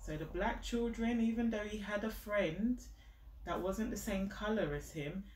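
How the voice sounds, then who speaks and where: A woman's voice: mid-range voice, normal speed, a little mellow, a little hiss or hum. A woman in her thirties reading a picture book aloud to a child, encouraging and explaining things simply. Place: living room sofa at home, indoors. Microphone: camera a step or two away. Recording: echoey room, distant mic.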